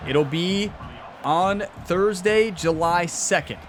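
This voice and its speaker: A man's voice: high voice, takes it slowly, noisy background. A man hosting a gaming video in casual commentary, talking straight to his viewers, still getting his voice back after being sick. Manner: excitable, talks straight to viewers. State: recovering from losing his voice.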